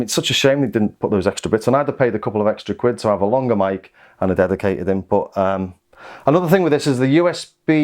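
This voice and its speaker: A man's voice: fairly low pitch, a little mellow. A British man giving a casual, rambling product overview as a gear reviewer.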